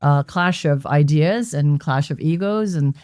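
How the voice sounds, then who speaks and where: Reflective woman from Hong Kong, mellow. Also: deep voice, unhurried, smooth, no echo, studio quality. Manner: reflective, explanatory. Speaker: guest. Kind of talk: conversational interview answers. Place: members' club lounge.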